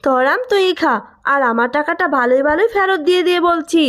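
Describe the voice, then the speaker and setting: A woman's voice: very high voice, animated, fast talker, a bit bright. A woman voicing a furious, scolding village customer in a cartoon melodrama, full of threats.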